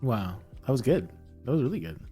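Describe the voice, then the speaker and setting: A man co-hosting a casual livestream, talking haltingly and repeating his words. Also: medium pitch, big pitch swings, mellow, breathy edge, faint music behind. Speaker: livestream co-host.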